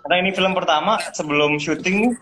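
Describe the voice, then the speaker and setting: A man asking relaxed, easygoing questions as the host of a live-stream interview. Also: high-pitched, fast.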